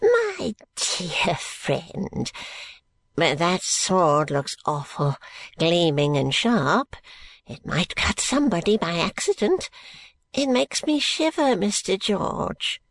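A man voicing a feeble, grasping old grandfather in an audiobook, wheedling and drawn-out with muttered spite. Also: high-pitched, wildly swooping pitch, a bit bright, voice pushed up, heavily acted. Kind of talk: audiobook character voice.

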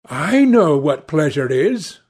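An ardent young gentleman from a novel played by a male reader. His pitch sits high for a man and moves widely. He speaks with earnest, impetuous fervour, yet at an unhurried pace. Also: big pitch swings, full character voice. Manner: fervent, earnest. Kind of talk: audiobook character dialogue.

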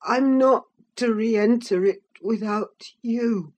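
A woman's voice: a little high, takes it slowly, a little mellow, voice pushed up. A woman narrator plays a sickly, cowed son in a classic novel. His voice is raised and feeble, with a panting, faltering, pleading delivery full of fear. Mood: fearful. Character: frail, fearful, whining sickly youth, a cowed son.

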